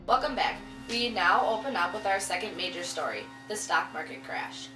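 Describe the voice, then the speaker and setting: Roomy, distant mic. Period news anchor played by a woman, mid-pitched, scripted reading. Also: animated, normal speed, music in the background, close to an everyday voice. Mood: matter-of-fact. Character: female 1920s studio news anchor. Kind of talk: scripted amateur mock newscast.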